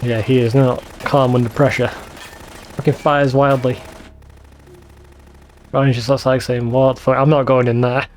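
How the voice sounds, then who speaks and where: A man's voice: mid-range voice, level tone, unhurried, pauses often, a little hiss or hum. A man hosting a let's play, giving casual game commentary with wry, sweary asides.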